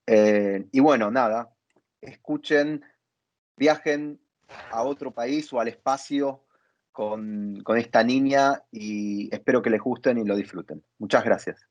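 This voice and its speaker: Man speaking reflectively, slightly dull audio. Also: mid-range voice. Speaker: interview host, theatre dramaturg. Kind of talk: reflective conversation.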